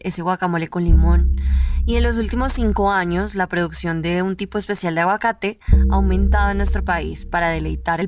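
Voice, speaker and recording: A woman reading scripted documentary narration for a radio feature. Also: mid-range voice. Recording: narration over loud music.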